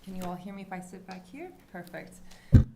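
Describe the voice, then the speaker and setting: A woman's voice: medium pitch, lively intonation, brisk, gravelly, heavy background noise. A young woman recounting a personal story at a panel event, casual and conversational with fillers. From Iran. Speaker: panel speaker.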